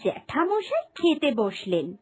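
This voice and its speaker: A woman's voice: high voice, wildly swooping pitch, measured pace. A woman reading scripted narration for a children's cartoon story.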